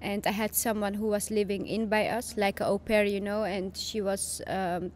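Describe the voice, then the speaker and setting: A woman's voice: mid-range voice, little pitch movement, normal speed, darkish tone. A young woman from Chechnya, a native Dutch speaker, talking in an interview with many fillers and restarts in a studio. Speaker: podcast guest. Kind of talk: casual interview conversation. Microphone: boom-arm studio mic.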